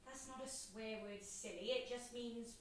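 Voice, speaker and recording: A woman's voice: on the high side, steady tone, measured pace, lightly acted. A woman acting a cheeky, friendly young schoolgirl with a British accent, playful and chatty, in a drama. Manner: playful, giggly, blurting. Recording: very echoey room, distant mic, noise.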